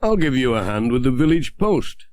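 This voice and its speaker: A man's voice: mid-range voice, sing-song, normal speed, a little mellow, no room sound, close to an everyday voice. A man voicing a cheery, eager village handyman helping with the post in a children's story. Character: cheery, helpful village handyman turned postal helper.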